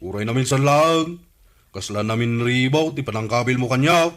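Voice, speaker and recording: A man's voice: mid-range voice, moderate pace, a bit bright, natural voice. A man playing a wronged but forgiving visitor in a radio comedy-drama, with an Ilocano accent. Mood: aggrieved.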